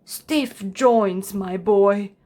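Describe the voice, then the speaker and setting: A woman's voice: medium pitch, drawn-out words, darkish tone. A woman voicing an elderly male giraffe in a storybook, a kindly, proud grandfather who explains things patiently. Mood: weary, kindly.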